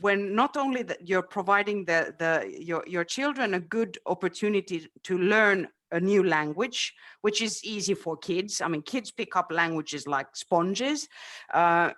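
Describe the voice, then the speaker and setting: A man's voice: high voice, measured pace, a bit bright. Man, native Finnish speaker, city official, webinar, hesitant, lots of fillers. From Finland. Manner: hesitant, many fillers and restarts. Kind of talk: webinar discussion. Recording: video call.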